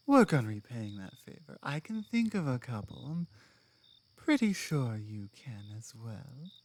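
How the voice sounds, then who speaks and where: Female orc companion, played by a woman: proud, blunt laborer, unhurried, halting, earnest delivery for an intimate ASMR audio drama. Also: rumbling low, mellow, no echo, exaggerated voice. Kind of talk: ASMR roleplay monologue.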